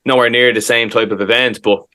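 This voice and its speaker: An Irish man co-hosts a relaxed history podcast and guides the conversation between segments. He sounds easygoing and speaks at a mid-range pitch. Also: fairly quick. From Ireland. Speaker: podcast co-host. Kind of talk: casual chat.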